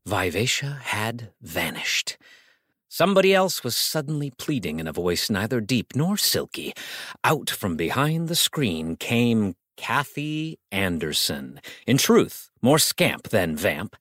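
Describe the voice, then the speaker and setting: A man's voice: fairly low pitch, big pitch swings, slow, fairly bright tone, dry recording, studio quality. A man narrating a scripted comic novel as a dramatic audiobook reading.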